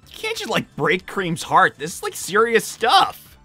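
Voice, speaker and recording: A man voicing a cocky but kind teenage male hedgehog hero, casual and halting with an exasperated edge, in improvised cartoon livestream roleplay. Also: sits high, huge pitch swings, pushed and bright, heavily acted. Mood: indignant. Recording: faint music behind.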